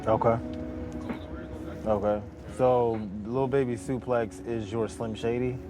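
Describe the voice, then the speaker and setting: A man's voice: mid-range voice, little pitch movement, takes it slowly, over music. A man hosting a podcast interview, laid-back and agreeable, using casual slang. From Albany, New York. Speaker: podcast host.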